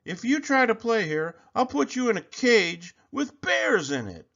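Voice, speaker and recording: Man putting on a high-pitched hollering bully boy. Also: big pitch swings, measured pace, gravelly, highs cut off, heavily acted. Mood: bossy, threatening. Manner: yelling, boastful, taunting. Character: bossy loud playground bully boy, blustering.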